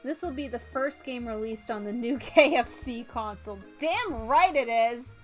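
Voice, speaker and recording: A woman's voice: fairly high pitch, normal speed. A woman livestreamer reading a visual novel aloud in a theatrical, giggly, playful way. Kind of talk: playful game streaming, reading story narration aloud. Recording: music behind the voice. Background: music.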